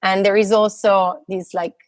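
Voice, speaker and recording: A woman's voice: mid-range voice, flat delivery, unhurried, dry recording. A woman in her thirties with an Italian accent, a physicist answering interview questions casually, hesitant and full of fillers and restarts. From Italy. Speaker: guest, postdoctoral physicist.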